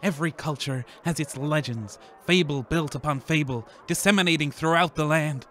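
An actor playing a sceptical travelling scientist in a comedy radio play, pompous and verbose. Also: male voice, on the high side, moderate pace, fairly bright tone, a little hiss or hum, natural voice. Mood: lofty, scornful. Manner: pompous, verbose, long-winded.

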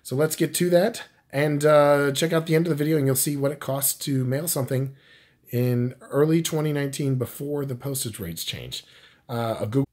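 A man with a husky, slightly raspy voice at a middling pitch talks casually to his phone camera at home. He rambles through prices and tips, stopping often on "uh" and "um" and starting his sentences over. It sounds like an everyday vlog. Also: moderate pace, darkish tone. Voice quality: husky, scratchy. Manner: rambling, full of fillers and restarts. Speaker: YouTube vlogger. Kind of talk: casual talk to camera, explaining postage prices.

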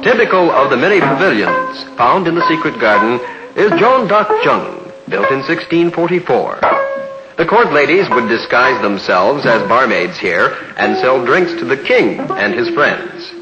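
1950s newsreel man over loud music. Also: mid-range voice, big pitch swings, lo-fi sound. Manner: measured, formal announcer delivery. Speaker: newsreel narrator. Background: loud orchestral music.

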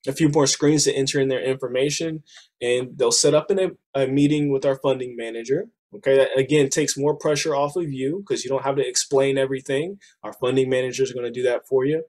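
Thirties male presenter, a bit hoarse, easygoing sales talk over a webcam. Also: medium pitch, steady tone, brisk, darkish tone. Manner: casual, persuasive, addresses listeners as y'all. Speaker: business funding presenter. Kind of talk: screen-share sales walkthrough. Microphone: webcam on a video call.

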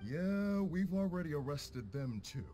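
Stern, animated samurai officer. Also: male voice, on the high side, a little slow, soft background music. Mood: stern, official. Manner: formal, clipped. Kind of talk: anime game dub acting.

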